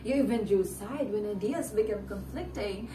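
A young woman acting a talkative, mocking woman in a stage play, with theatrical, deliberate delivery. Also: mid-range voice, dark tone, slight background noise, close to an everyday voice. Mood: reflective, flattering. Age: young adult. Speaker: stage actor. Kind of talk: theatrical one-act play dialogue. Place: indoor stage set with living-room furniture. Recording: roomy stage, distant mic, music underneath.

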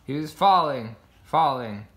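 A man's voice: medium pitch, animated, unhurried, fairly bright tone. A man reading a children's picture book aloud, voicing the sound words expressively. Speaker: storybook reader.

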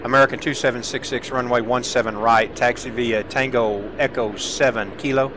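A man's voice: medium pitch, normal speed. A man streaming a game, playing air traffic controller with procedural calls and offhand commentary. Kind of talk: gameplay commentary and radio-style controller calls. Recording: steady background noise from game ambience.

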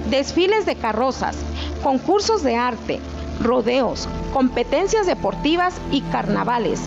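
A woman's voice: high-pitched, vocal fry. A woman reading a scripted documentary voice-over in a dull, lo-fi recording with music behind her. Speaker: narrator.

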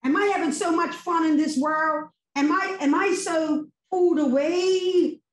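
A woman's voice: very high voice, a little slow. A middle-aged woman giving a fervent, preacherly response to a sermon, full of emphatic rhetorical questions, on a video call. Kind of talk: impassioned post-sermon testimony. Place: home room with virtual background. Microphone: laptop webcam on video call. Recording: echoey video call audio.

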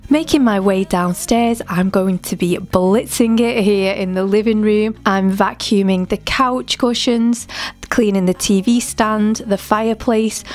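A young woman talks viewers through her cleaning routine in a scripted voice-over. Her voice sits at a middling pitch, and she keeps an upbeat, promotional tone as she addresses them directly. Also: darkish tone. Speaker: cleaning channel host.

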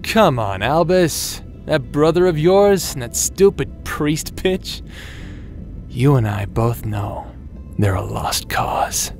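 Slow, taunting villain played by a man. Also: a little high, big pitch swings, creaky, soft background music, heavily acted. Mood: coaxing. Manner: drawn-out, mocking, theatrical delivery. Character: taunting male villain, manipulative, mocking, coldly friendly tempter. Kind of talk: dramatic audio roleplay acting.

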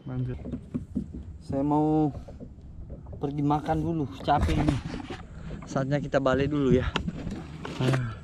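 A young man fishing from a kayak at sea, exclaiming and groaning excitedly as he talks to his action camera. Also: a little high, takes it slowly, long pauses. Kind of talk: casual first-person fishing vlog. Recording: outdoor wind and water noise.

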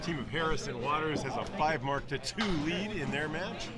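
A man with a New England accent calling a candlepin bowling match live, with quick excited exclamations. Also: on the high side, heavy background noise. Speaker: play-by-play commentator. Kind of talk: live sports commentary. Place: bowling alley. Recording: loud crowd of bowlers behind.

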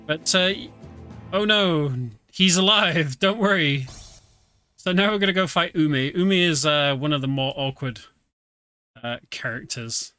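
British man, streamer, casual game commentary, bright voice, light music behind. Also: on the high side, a little slow, smooth, no room sound, studio quality. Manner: relaxed, chatty, explaining as he plays.

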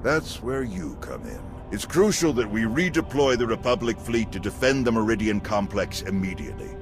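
Deep, grave alien general. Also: male voice, sing-song, moderate pace, over music. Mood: commanding. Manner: authoritative, grave. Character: grave, burly male alien general briefing officers. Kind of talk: scripted military briefing in a game.

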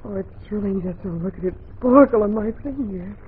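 A woman's voice: mid-range voice, a little fast, husky. An actress playing a sweet, naive, wistful young frontier woman, hesitant and earnest, in 1940s radio drama style. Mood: dreamy, puzzled. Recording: muffled 1940s radio recording.

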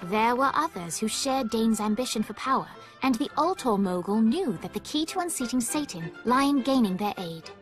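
Music plays clearly behind a female storyteller voiced by an actress with a slightly high voice. She narrates a dark game legend in a measured, dramatic way. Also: animated, natural voice. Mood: solemn storytelling.

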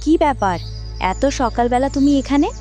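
Woman as a high-voiced nagging cartoon mother. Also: moderate pace, warm tone, faint music behind, natural voice. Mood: stern, exasperated. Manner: nagging, exasperated. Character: stern, scolding village mother, adult, worried about studies. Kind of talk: cartoon dialogue acting.

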